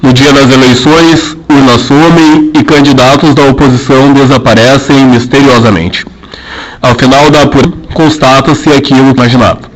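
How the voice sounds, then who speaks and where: A man narrating a radio drama in a formal, measured storytelling manner. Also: mid-range voice, low-quality recording.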